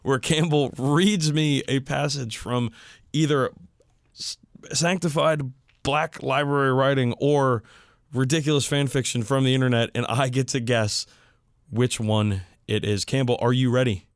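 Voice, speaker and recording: A man's voice: medium pitch, steady tone, measured pace, dry recording, clean studio sound. A man hosting a hobby podcast in an informal, enthusiastic, conversational style. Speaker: podcast host.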